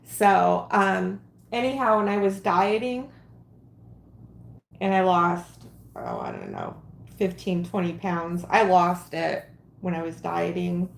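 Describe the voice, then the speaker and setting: A woman's voice: fairly low pitch, big pitch swings, slow pace, gravelly, long pauses, echoey room, a little hiss or hum. A woman in her late forties hosting a casual crafting livestream, talking in a rambling, chatty way with frequent ums and giggles. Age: 40s.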